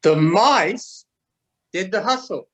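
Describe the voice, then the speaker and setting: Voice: medium pitch, animated, takes it slowly. A man narrating a children's storybook as a readers theatre performer. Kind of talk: storybook reading.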